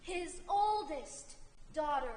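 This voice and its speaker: A woman's voice: high voice, animated, slow pace, a little mellow. A woman playing an urgent, foreboding figure from a Greek chorus on stage, with dramatic, emphatic projection. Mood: ominous telling. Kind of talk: theatrical stage acting. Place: school theatre stage. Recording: echoey theatre hall, faint music.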